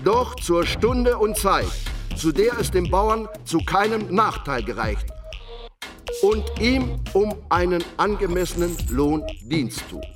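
An actor playing a solemn reader of peasant demands, declaiming formally in an audio drama. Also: male voice, sits high, animated, slow pace, recorded from a distance, music in the background. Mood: solemn, pleading. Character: solemn male reader of peasants' petition articles.

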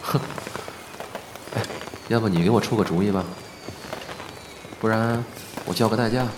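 A man's voice: fairly low pitch, normal speed, lightly acted. A man playing a cool, casual celebrity in an audio drama, talking in a dry, wry way. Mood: wry, teasing. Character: cool, casual male celebrity hiding his feelings. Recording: clearly noisy background ambience.

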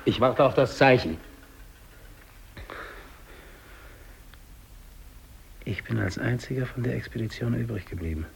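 An actor playing a dying, despairing English polar explorer alone, brooding and halting, in a 1950s German radio drama monologue. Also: male voice, medium pitch, lively intonation, slight background noise. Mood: bleak, brooding. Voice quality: gravelly, husky. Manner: halting, brooding, repeats words, hushed to declamatory.

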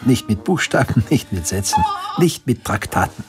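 A man playing a self-convinced period physician in a radio drama. He lectures grandly with very lively intonation while music plays clearly behind him. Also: mid-range voice, moderate pace, natural voice. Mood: fervent, lecturing. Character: fervent eighteenth-century male doctor, pompous and self-convinced. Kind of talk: German radio drama acting. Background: instrumental music.

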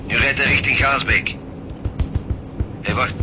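A man with a Flemish accent playing a gruff, bossy chief detective in a radio crime drama, terse and commanding. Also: mid-range voice, a little slow, natural voice. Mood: urgent, tense. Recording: music under the voice.

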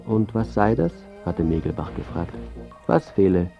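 A man's voice: sits low, big pitch swings, normal speed, lo-fi sound, soft background music, heavily acted. An actor playing a smug, calculating journalist in his thirties, narrating and talking with dry self-importance in a radio drama. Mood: smug, cynical. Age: 30s. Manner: self-important, smooth-tongued, dryly cynical. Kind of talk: first-person narration and dialogue in radio drama.

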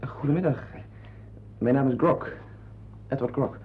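An actor playing a self-important, opinionated husband in a radio drama, confiding in listeners in a wordy way and hesitant with strangers. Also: male voice, mid-range voice, unhurried, breathy edge. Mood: hesitant, awkward. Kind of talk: radio drama acting with narrated asides. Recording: dull, lo-fi old radio play recording.

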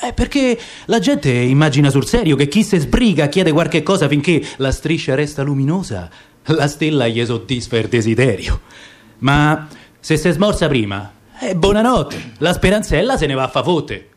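An actor playing a nostalgic middle-aged Roman man, reciting dialect verse about the past in an expressive storytelling manner. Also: on the high side, normal speed, natural voice. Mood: wistful, wry. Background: acoustic guitar music.

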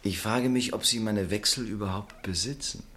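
Man as radio-play car dealer, deep voice, wary, guarded, evasive. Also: normal speed, fairly bright tone, natural voice.

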